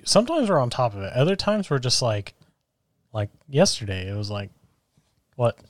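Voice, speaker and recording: Deep-voiced man, rambling casual podcast host. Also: big pitch swings, moderate pace, no room sound, studio quality. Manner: rambling, self-deprecating, loose with fillers. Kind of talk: casual chat. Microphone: microphone through a soundboard.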